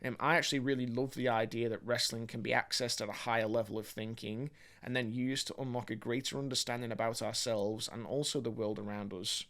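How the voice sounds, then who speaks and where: A man, born in England, presenting video essay commentary in an articulate, measured, academic manner. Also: mid-range voice, steady tone, a bit bright. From born in England, grew up mostly in Australia.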